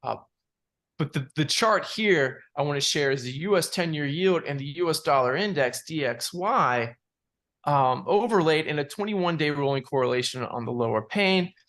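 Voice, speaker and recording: A man giving unscripted analysis as a market analyst, in a halting way, repeating words and padding with "you know". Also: a little high, lively intonation, fairly bright tone. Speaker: market analyst presenting charts. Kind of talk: unscripted financial chart commentary.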